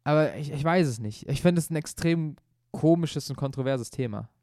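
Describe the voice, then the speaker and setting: A man's voice: on the high side, normal speed, warm tone, dry recording, clean studio sound. A young man co-hosting a casual football podcast, opinionated and rambling, often restarting his sentences. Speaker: podcast co-host.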